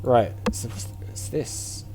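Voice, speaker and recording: A man's voice: takes it slowly, music in the background. A man giving casual let's-play commentary as a channel host, chatting and thinking aloud in questions as he plays. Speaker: video game player and channel host.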